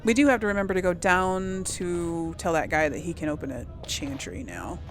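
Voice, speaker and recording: A woman's voice: on the low side, moderate pace, slight background noise. A woman giving casual, rambling commentary as she plays a video game, thinking aloud to her viewers. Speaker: gamer hosting a role-play let's play.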